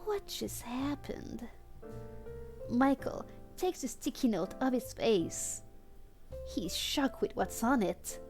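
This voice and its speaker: A woman's voice: fairly high pitch, expressive, slow pace, long pauses, darkish tone, faint music behind. A woman streamer doing a comedic live reading of fan fiction, chatting to her audience in asides, with a giggly manner. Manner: giggly, often breaking into laughter while reading.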